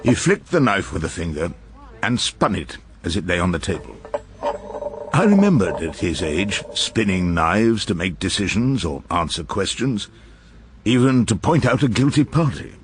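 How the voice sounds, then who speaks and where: An old English barrister in a radio play. His low voice moves animatedly through wry, unhurried remarks and storytelling, with faint noise behind it. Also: very animated. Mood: wry narration. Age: older. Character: irascible, wry old male barrister narrating his cases. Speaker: barrister and narrator. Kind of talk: radio drama dialogue and first-person narration.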